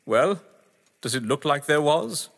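In a reverberant hall with music behind, a man acts out a pompous English gentleman for an audio drama. His voice sits fairly high and swings widely in pitch. He is florid, theatrical and breezily haughty. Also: animated, slow pace, vocal fry, full character voice. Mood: dismissive, wary.

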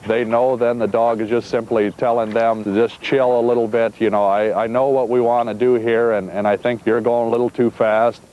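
A man from Iowa, a sheepdog trainer, talking calmly and plainly outdoors, with some fillers. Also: mid-range voice, moderate pace, few pauses, dull audio, slight background noise. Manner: calm, plainspoken, with fillers and restarts. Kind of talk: casual interview talk. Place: outdoors on a farm.

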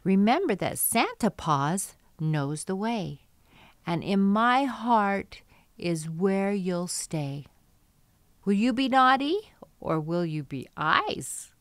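Slow-paced woman reading to young children. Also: medium pitch, very animated, no echo. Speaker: children's storytime host. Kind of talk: read-aloud storytelling for young children.

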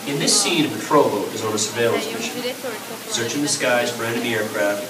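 A man delivers a scripted scene setup from a recorded video, measured and dramatic. The sound is a playback through outdoor speakers, heard from a distance with echo. A loud crowd surrounds it. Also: mid-range voice, sing-song. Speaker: film director in a recorded intro. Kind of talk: scripted presentation. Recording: playback over park speakers, echoey and distant, crowd noise.

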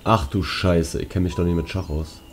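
A man's voice: low voice, fairly even intonation, moderate pace, slight background noise. A young man giving casual gameplay commentary, slangy and muttering to himself. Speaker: let's player.

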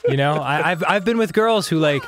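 A man's voice: on the high side, brisk. A man from New Jersey, co-hosting a gaming show and telling casual stories with lots of laughter. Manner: animated, laughs often, acts out quoted voices. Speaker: co-host. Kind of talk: casual storytelling while gaming. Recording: game music behind. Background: video game music.

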